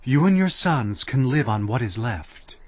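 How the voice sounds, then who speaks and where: A man plays an earnest prophet with a husky, lively voice that rises and falls as he gives solemn instructions. The audio is dull and dry, with music underneath. He sounds commanding and deliberate. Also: mid-range voice, no room sound. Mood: instructing. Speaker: prophet character. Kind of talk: dramatized audio scripture.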